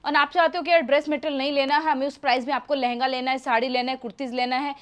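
A young Indian woman in her twenties giving a talkative, persuasive sales pitch as she shows goods to camera. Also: level tone, racing, a bit bright. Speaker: shop sales presenter. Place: indoor textile showroom.

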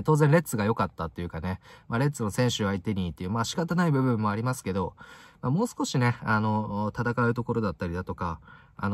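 A man in his twenties giving casual match commentary to camera in short, halting phrases full of fillers, recorded inside a parked car. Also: sits low, no echo. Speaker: football fan video host.

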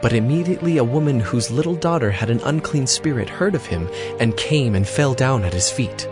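A man's voice: medium pitch, lively intonation, brisk, over music. A man narrating scripture from a script in a dramatized Bible production.

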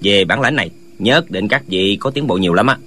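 A man playing a young swordsman and new sect leader in a martial arts audiobook, wry and dutiful, speaking in a measured, courteous way. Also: fairly low pitch, expressive, bright, forceful tone, breathy edge, heavily acted. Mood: dryly amused.

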